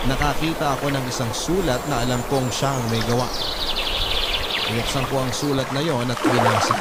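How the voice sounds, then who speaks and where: A man narrating as a devoted bereaved husband with a Filipino accent, in measured, sorrowful first-person storytelling. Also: medium pitch, natural voice. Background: loud music bed.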